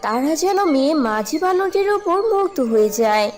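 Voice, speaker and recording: Female cartoon narrator, high-pitched storytelling, slightly distant, noisy recording. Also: very animated, moderate pace, darkish tone, soft background music. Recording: distant voice with clear background noise.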